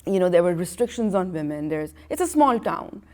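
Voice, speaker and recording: A woman's voice: on the low side, very animated, fairly quick, darkish tone. Rambling woman artist, native Farsi speaker. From Iran and Pakistan. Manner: rambling, full of restarts and "you know". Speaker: artist, interview guest. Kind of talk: casual conversational storytelling. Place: art gallery.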